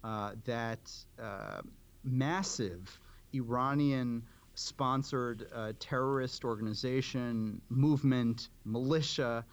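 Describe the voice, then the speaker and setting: Guy doing formal policy commentary in an interview, medium pitch, careful and halting, bit by bit. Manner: deliberate, formal, halting, broken into short clauses. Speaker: advocacy organization director, interview guest.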